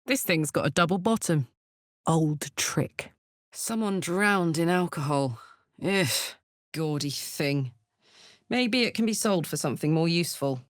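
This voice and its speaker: A woman playing a brash, big-hearted tiefling barbarian in a video game, blunt, earthy and expressive. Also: deep voice, measured pace, no echo, clean studio sound. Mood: wary, wry. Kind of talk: video game character voice lines.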